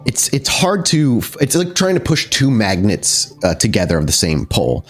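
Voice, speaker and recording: Man, slightly deep voice, game master, descriptive horror-game narration, halting word searches, background music. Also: huge pitch swings, husky.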